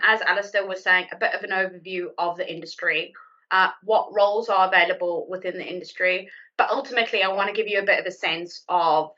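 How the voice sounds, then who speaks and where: 30s south african woman, bright voice, chatty self-deprecating presenter, dull call sound. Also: mid-range voice. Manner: friendly, self-deprecating, uses "kind of" often. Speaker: account manager guest speaker. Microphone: video call. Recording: muffled video call audio.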